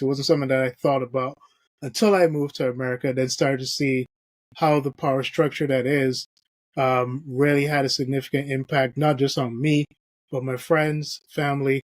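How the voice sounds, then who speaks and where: A man hosting a podcast, earnestly reading from an article and filling pauses with "you know". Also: mid-range voice, dry recording. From Barbados. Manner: earnest, reads aloud from an article with frequent fillers. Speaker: podcast host. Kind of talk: podcast discussion.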